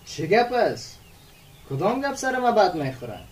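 A man acts a polite young village man for a radio play. He speaks in a high, lively voice with a courteous, questioning manner, sounding a little away from the microphone. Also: recorded from a distance. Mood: inquisitive. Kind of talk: radio drama acting in dialogue.

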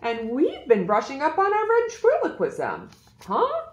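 A woman's voice: sits high, huge pitch swings, unhurried, reverberant, dull audio, voice pushed up, exaggerated voice. A woman voicing show-off dinosaur kids, playful and bragging, in a picture-book story.

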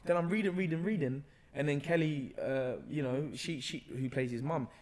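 A man's voice: fairly high pitch, normal speed, darkish tone. Young London man telling stories casually. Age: young adult. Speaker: actor, podcast guest. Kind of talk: casual conversational storytelling. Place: bright indoor room, seated on a sofa. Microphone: podcast microphone on a boom arm, close.

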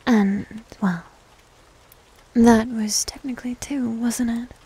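A needy goth demon girl, played by a woman for an ASMR roleplay, whispers softly in a slightly high, dark and velvety voice. She takes her time, coy and teasing, with a breathy delivery. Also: steady tone, slow, exaggerated voice, poor audio.

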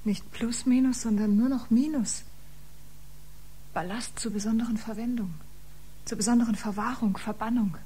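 An actress in a German science fiction radio drama playing a proud, wounded exiled biomusician, speaking dramatically with breathy deliberation. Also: female voice, a little high, fairly even intonation, moderate pace, long pauses, warm tone. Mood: bitter outrage.

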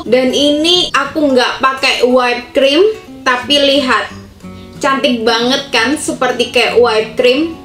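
Young woman host, upbeat and chatty, high voice, roomy sound. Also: fairly bright tone. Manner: chatty, upbeat, addresses viewers as friends. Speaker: cooking vlogger. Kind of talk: cheerful cooking tutorial.

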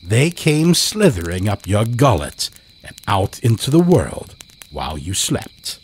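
A man telling a scripted horror story as a podcast host, in a measured, dramatic way. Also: on the low side, wildly swooping pitch, slight background noise.

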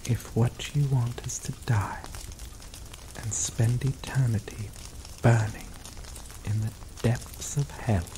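Guy doing a doomed clingy yandere lover, talks super slow and soft, rain pouring in the background. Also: mid-range voice. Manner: slow, soft-spoken, dwelling on words with dark chuckles. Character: obsessive possessive male yandere lover, doomed and devoted. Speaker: ASMR roleplay voice actor. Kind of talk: ASMR roleplay. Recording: steady rain noise behind voice. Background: rain falling.

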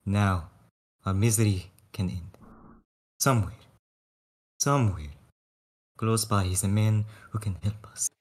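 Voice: sits low, measured pace, pauses often, a little mellow. A performer voicing a vengeful, grief-stricken swordsman, theatrical and earnest, in a table read. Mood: solemn pleading. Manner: theatrical, pleading, solemn.

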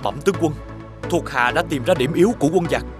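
An actor playing a brave, loyal young warrior in an animated folk tale, earnest and resolute in his delivery. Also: on the high side, fast talker, music in the background, close to an everyday voice.

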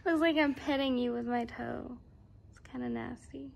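A woman's voice: on the high side, steady tone, normal speed, vocal fry, a little hiss or hum. A young woman in her twenties chatting casually into a handheld phone camera for a vlog, playful and giggly. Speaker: vlogger.

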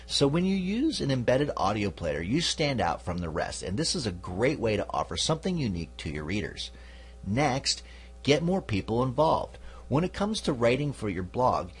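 A man's voice: fairly low pitch, breathy edge. A man hosting a podcast, giving an instructional talk casually, with "you know" asides. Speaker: podcast host.

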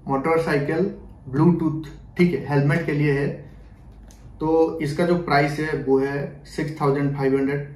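Higher-voiced young man, roomy sound. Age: young adult. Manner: repetitive filler-laden explaining, mixing in English words. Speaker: product review vlogger. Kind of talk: casual unboxing and demonstration to camera. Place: small bedroom, indoors. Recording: roomy echo.

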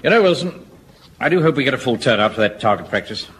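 An actor playing a pompous, self-important middle-aged home guard captain in a radio sitcom. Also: male voice, mid-range voice, expressive, fast talker, fairly bright tone, lightly acted. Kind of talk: 1970s radio sitcom acting. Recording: old radio recording with faint hiss.

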